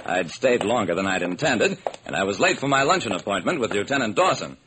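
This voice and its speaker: Vintage radio detective hero, wry and sharp, lively swooping inflection, noisy old recording. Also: male voice, lowish voice, fairly quick. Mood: wry, narrating. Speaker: narrator and lead actor. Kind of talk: old-time radio detective narration and acting.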